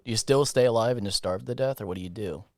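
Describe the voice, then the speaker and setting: A young man co-hosting a podcast, chatting in a crude, joking way and breaking into silly voices and loud laughter. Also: on the low side, fast, no echo, clean studio sound. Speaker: podcast co-host. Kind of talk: casual comedic chat.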